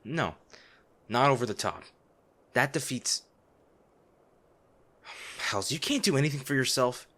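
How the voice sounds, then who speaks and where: A man playing a noble lady's gruff, protective bodyguard, blunt and emotional, in a dramatic fantasy audio roleplay. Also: mid-range voice, expressive, measured pace, pauses often, fairly bright tone. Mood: gruff concern. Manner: exasperated, emotional, blunt, with sighs. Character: gruff, protective male bodyguard of a noble lady, scolding yet anxious.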